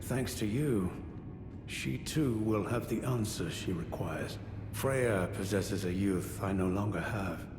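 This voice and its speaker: An actor playing an aging, honorable warrior knight in a fantasy game, speaking in a measured, formal, ruminative way. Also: male voice, fairly low pitch, background noise. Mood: courteous, resigned. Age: older. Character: aging honorable male warrior knight, weary, grave, courteous. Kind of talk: dramatic fantasy video game dialogue. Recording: echoey hall with long reverb, music behind.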